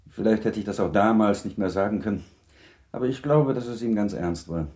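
A gentlemanly middle-aged husband in a radio drama, courteous and gently probing. His voice is lowish and mellow, swinging widely in pitch as he talks. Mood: wry, probing. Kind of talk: German radio play acting.

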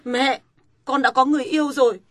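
A woman's voice: sits high, fairly even intonation, fairly quick, no room sound, clean studio sound. An actress playing a stubborn young daughter who pleads with her mother in a family drama. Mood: pleading. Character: headstrong young woman defying her mother. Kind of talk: radio-style family drama.

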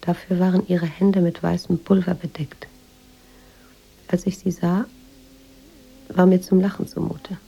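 Radio drama lead: weary woman writer, very gravelly, subdued, evenly pitched narration. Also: flat delivery, unhurried, pauses often, dark tone, exaggerated voice. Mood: pensive, uneasy. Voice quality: husky, creaky. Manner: subdued, reflective, literary narration.

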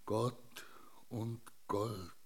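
Disillusioned old captain, radio drama, low voice, dragging pace, resigned. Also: male voice, steady tone, very slow. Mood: bitter, resigned. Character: weary, disillusioned old sea captain turned landowner.

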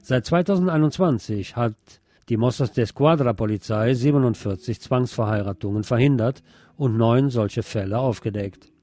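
A man presenting radio news, reading from a script in a measured bulletin style. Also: mid-range voice, dark tone.

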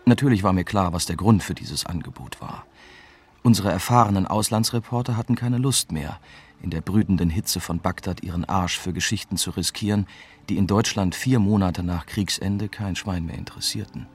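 An actor playing a young, wry German reporter, narrating a radio drama in a dry, matter-of-fact way. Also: male voice, deep voice, moderate pace, husky. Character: young, wry male German newspaper reporter recounting his story. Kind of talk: scripted first-person radio drama narration.

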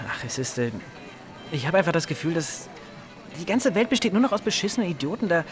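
Radio play hacker: fairly high-pitched, uneasy, muttering and distracted, with clear background music. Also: male voice, very animated, quick pace. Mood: glum, irritated. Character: nervous, grumbling, distracted male computer hacker.

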